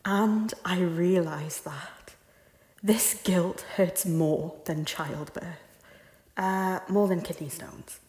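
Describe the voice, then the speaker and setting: A woman playing a guilt-ridden but defiant noble lady in an audio drama, with an intense, theatrical and often breathy delivery. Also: fairly low pitch, takes it slowly, darkish tone. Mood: anguished, resolute. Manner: theatrical, breathy whispers breaking into intense declarations. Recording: echoey hall with long reverb.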